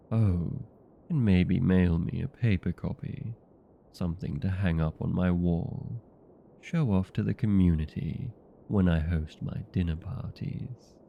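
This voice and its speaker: Man playing a deep, gravelly, genteel old vampire. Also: dull audio, slight background noise, full character voice. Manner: genteel, formal, unhurried. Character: courteous, proud old male vampire being interviewed. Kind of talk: scripted comedic audio roleplay.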